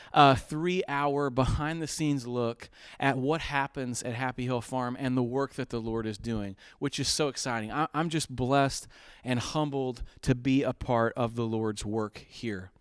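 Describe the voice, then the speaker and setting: A man's voice: medium pitch, clean tone, no echo, clean studio sound. A man hosting a charity telethon, making earnest, upbeat appeals for donations with frequent uhs and restarts. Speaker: fundraiser host.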